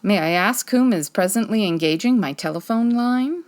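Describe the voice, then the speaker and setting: A woman playing a quick-witted, breezy female private detective with a wry, commanding manner in a 1940s-style radio comedy. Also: medium pitch, animated, close to an everyday voice. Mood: breezy, confident.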